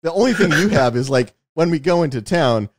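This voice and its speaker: A man's voice: a little high, lively intonation, a little fast, no room sound, clean studio sound. A man co-hosting a podcast in a casual film-review chat, telling stories in an emphatic, unguarded way. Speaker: podcast co-host, television producer.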